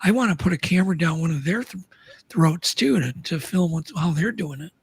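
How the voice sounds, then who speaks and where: A man's voice: a little high, expressive, brisk, vocal fry, breathy edge. A man talking earnestly as a podcast guest, in a casual chat, often restarting his sentences.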